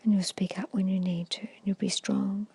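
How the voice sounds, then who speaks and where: A woman whispers a guided ASMR hypnosis meditation in a breathy, hushed voice, giving gentle, repetitive suggestions. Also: mid-range voice, steady tone, normal speed, no echo.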